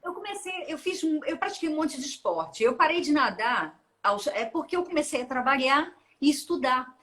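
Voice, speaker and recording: A woman in her forties speaks with a Minas Gerais Brazilian accent. She is a guest in a casual interview, and she talks in loose runs with restarts and frequent laughter. Her voice sits a little high. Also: expressive, normal speed, a bit bright. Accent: Minas Gerais Brazilian Portuguese. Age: 40s. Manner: chatty, laughs often, rambling with restarts. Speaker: guest, open-water ultramarathon swimmer.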